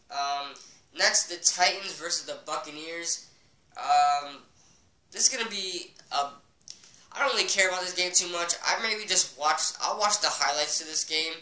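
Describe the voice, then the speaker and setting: A man's voice: a little high, sharp tone. A man with a cold, sniffling slightly, giving casual football predictions in a rambling, opinionated way full of ums. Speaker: sports vlogger. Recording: echoey room, far from mic.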